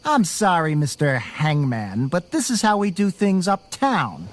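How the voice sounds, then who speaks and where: Menacing crime boss, bossy, swooping delivery, sneering threats, pulp radio drama, noisy ambience. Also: male voice, a little high, wildly swooping pitch, unhurried. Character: ruthless, sneering male gang boss with wordy threats. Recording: noisy sound-effect ambience behind voice.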